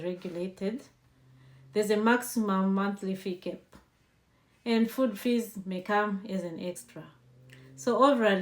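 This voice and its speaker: A woman's voice: medium pitch, a little mellow. A woman hosting an informal lesson, explaining step by step in a conversational way and sharing personal tips. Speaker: host and language teacher.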